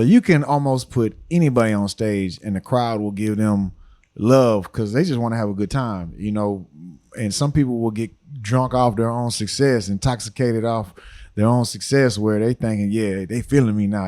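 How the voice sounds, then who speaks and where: Laid-back Texan man, low dry voice. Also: no echo. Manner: relaxed, frequent "you know what I'm saying". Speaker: podcast guest, rapper. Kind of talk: casual podcast chat.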